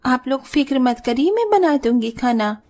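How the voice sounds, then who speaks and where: A woman's voice: high-pitched, very animated, moderate pace, a little mellow. A woman voicing a breezy, easygoing young daughter-in-law who casually makes excuses in a moral story. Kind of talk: animated moral story dialogue.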